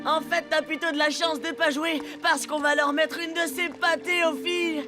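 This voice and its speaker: A woman's voice: sits high, flat delivery, moderate pace, lightly acted. A woman voicing a cocky, mocking little boy who leads his gang in a children's cartoon, with a French accent. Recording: music behind the voice.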